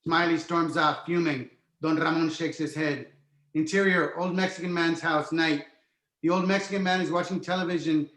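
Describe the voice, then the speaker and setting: Guy reading out screenplay directions, voice on the high side, roomy echo. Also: fairly even intonation, normal speed, fairly bright tone. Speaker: stage direction narrator. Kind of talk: scripted narration of a screenplay. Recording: roomy, echoey sound.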